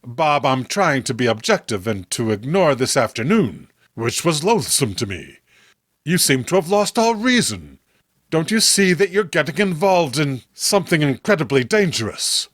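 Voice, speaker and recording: Man acting earnest, sensible friend, expressive pitch. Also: normal speed, no room sound, clean studio sound. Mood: earnest warning. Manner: earnest, urgent, reasoning. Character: sensible, worried adult man, loyal old friend. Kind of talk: horror comic read-along acting.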